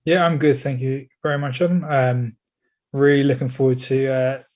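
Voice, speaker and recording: Male podcast co-host, mid pitch, laid-back and wry, some hesitant fillers. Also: flat delivery, normal speed, a little mellow. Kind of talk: casual podcast chat.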